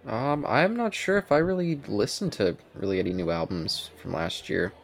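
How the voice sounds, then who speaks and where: Low-voiced man in casual chat. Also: very animated, moderate pace, gravelly. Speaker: podcast co-host.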